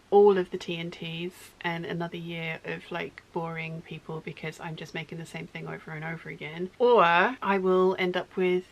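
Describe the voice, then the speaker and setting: A woman chatting casually in her sewing studio, rambling and correcting herself, her voice going hoarse. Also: lowish voice, highs cut off, a little hiss or hum. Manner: rambling, self-correcting, talkative. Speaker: sewing vlogger.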